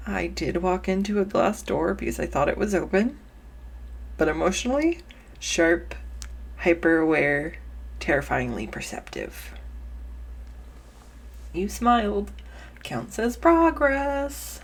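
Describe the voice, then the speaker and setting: A woman playing an awkward, kind young woman befriending a stranger, speaking in an earnest, halting, nervous ramble in an intimate audio roleplay. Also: mid-range voice, sing-song, creaky, husky, exaggerated voice. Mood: playful, awkward. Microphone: close USB condenser microphone.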